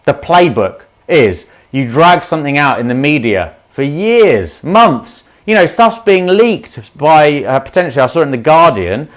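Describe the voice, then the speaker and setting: A man's voice: fairly high pitch, very animated, a little slow, hardly any pauses. A man, a doctor, talking unscripted to viewers on a livestream in an outspoken, impassioned way that rambles into asides, with a slight sore throat and sniffle. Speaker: doctor giving a livestream talk.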